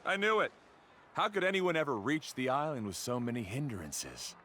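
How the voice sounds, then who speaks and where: Brash, animated proud male warrior. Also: medium pitch, normal speed, slight background noise. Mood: taunting. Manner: brash, boastful. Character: proud boastful male rival warrior, defensive, restless. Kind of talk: video game voice acting.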